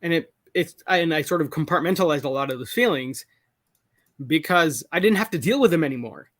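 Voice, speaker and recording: A young man calling in to a live show, talking in a halting way full of restarts and self-corrections. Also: fairly high pitch, lively intonation, a bit bright, no room sound, studio quality. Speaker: caller. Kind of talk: casual call-in conversation. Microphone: call-in over a video call.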